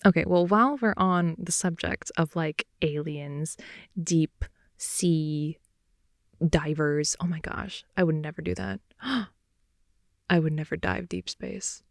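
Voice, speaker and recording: A young woman improvising an intimate ASMR girlfriend roleplay, rambling in a playful, slangy way and slipping between soft whispers and more animated talk, close to the mic. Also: low-pitched, slow pace, vocal fry, no echo. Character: wide-awake chatty girlfriend, silly and playful. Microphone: close microphone, dry sound.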